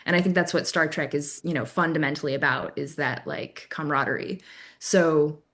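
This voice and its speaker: Young woman, lowish voice, hesitant chat. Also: normal speed, a bit bright. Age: young adult. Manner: hesitant, sprinkles like throughout. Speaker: podcast guest. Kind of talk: casual chat.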